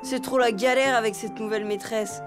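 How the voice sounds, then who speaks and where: A woman's voice: on the high side, steady tone, a little fast, lightly acted. A woman voicing a cheeky, restless young elephant schoolboy in a children's cartoon, with a clownish, childlike and sulky manner. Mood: frustrated. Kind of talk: French children's cartoon dialogue. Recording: music underneath.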